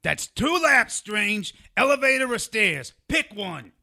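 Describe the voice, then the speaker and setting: Guy doing a whiny mouthy sidekick dude, super sharp high voice w/ gravelly edge, cranky and put-upon, audio drama comedy. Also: very animated, takes it slowly, full character voice. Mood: irritated. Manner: exasperated, complaining, mouthy.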